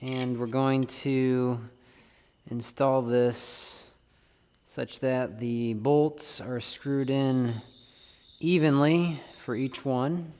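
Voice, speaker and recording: A man's voice: medium pitch, steady tone, drawn-out words, dark tone, smooth. A man giving a casual hands-on tutorial, thinking aloud informally as he works. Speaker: bike vlogger and host. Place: indoor garage or shed workshop with plywood walls. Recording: reverberant workshop room sound.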